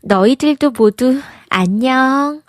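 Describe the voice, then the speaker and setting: A woman voicing a sweet, innocent young princess in a storybook, gentle and polite. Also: high-pitched, slow pace, no room sound, clean studio sound, voice pushed up. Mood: grateful farewell. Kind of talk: storybook character voice.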